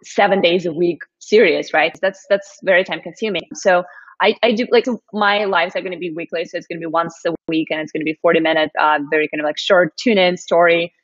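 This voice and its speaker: A woman's voice: lowish voice, steady tone, a little fast. A woman from Moscow, Russia, hosting a live-stream interview in an upbeat, encouraging way with many fillers. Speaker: host. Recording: dull lo-fi stream audio.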